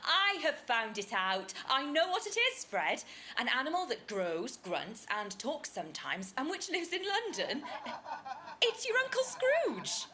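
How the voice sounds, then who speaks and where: Casting: a merry young wife, high, bright, very animated. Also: female voice, unhurried, a little hiss or hum, heavily acted. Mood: playful.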